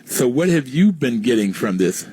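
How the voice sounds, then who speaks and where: A man's voice: mid-range voice, big pitch swings, moderate pace, dark tone, breathy edge, rough recording. An American man from West Virginia hosting a conversational radio interview, asking questions and explaining points to listeners. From West Virginia, United States. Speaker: radio show host, interviewer.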